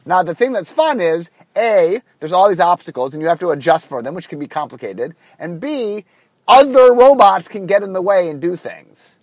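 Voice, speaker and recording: A man with a husky voice from a cold, casually telling a long story on his podcast, full of ums and restarts. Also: sits high, lively intonation, normal speed, no room sound. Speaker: podcast host. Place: car, recording on the drive to work.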